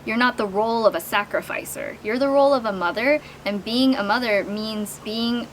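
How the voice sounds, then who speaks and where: A woman's voice: a little high, normal speed, slight background noise, low-quality recording. A woman in her thirties co-hosting a casual couple's Q&A, talking in an earnest, reflective way with lots of "like" fillers. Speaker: co-host answering viewer questions.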